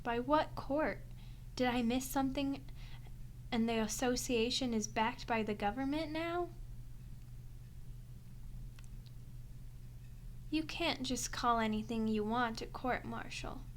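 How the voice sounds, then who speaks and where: A woman's voice: fairly high pitch, measured pace, slight background noise, natural voice. A woman playing a sardonic, teasing, centuries-old female vampire in an ASMR audio roleplay, sweary and unrepentantly bloodthirsty. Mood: incredulous, dry.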